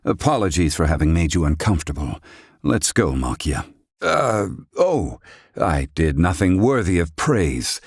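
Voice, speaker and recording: A man's voice: deep voice, big pitch swings, measured pace, breathy edge, no echo, studio quality, full character voice. An actor playing a proud, grumpy, brainy older man in a video game, with pompous, blustering, wordy old-fashioned diction. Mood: gruff, composed. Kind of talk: dramatic English-dub game acting.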